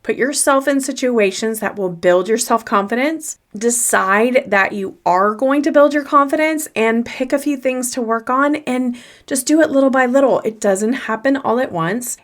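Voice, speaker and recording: A woman's voice: fairly high pitch, moderate pace, hardly any pauses. A woman with a faint Southern US accent giving earnest, encouraging advice in a solo motivational podcast, repeating her key phrases. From Southern United States. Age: young adult or older. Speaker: podcast host and confidence coach.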